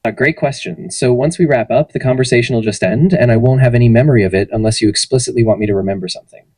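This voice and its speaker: A man's voice: medium pitch, quick pace, husky, no room sound, low-quality recording. A synthetic male AI assistant voice giving friendly, polished answers in an interview. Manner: friendly, even, polished delivery. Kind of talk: conversational answers to interview questions.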